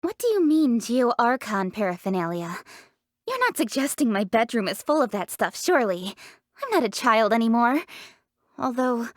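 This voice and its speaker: A woman's voice: on the high side, sing-song, normal speed, no echo, clean studio sound. An actress playing a confident, proud young woman leader in a fantasy video game, speaking in an articulate, earnest, self-assured way. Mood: flustered, defensive. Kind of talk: scripted video game character voice lines.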